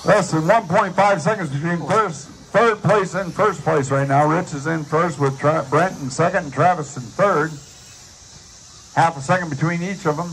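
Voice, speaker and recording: Man, race announcer, live commentary, slightly high voice, outdoor recording with light noise. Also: normal speed, fairly bright tone. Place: outdoor RC dirt track. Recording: noisy outdoor track recording.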